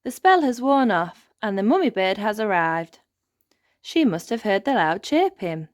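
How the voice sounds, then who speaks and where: Animated, slow-paced woman reading a storybook. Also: medium pitch, no room sound, studio quality. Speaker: storybook narrator. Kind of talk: animated read-aloud for young children.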